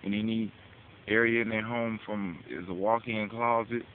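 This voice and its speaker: Low-voiced American man, tinny hissy recording. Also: steady tone, moderate pace. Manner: street slang, casual swearing, rhetorical questions. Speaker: speaker giving a public address. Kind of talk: informal advocacy monologue. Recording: tinny phone-like audio with hiss.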